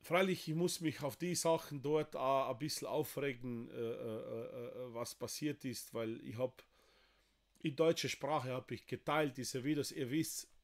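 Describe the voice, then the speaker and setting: A man in his forties from Slovenia, speaking German with a Slovenian accent, hosting a livestream from a wood-panelled room with halting, emotional talk full of fillers. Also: mid-range voice, steady tone. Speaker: livestream host. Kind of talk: livestream appeal to viewers.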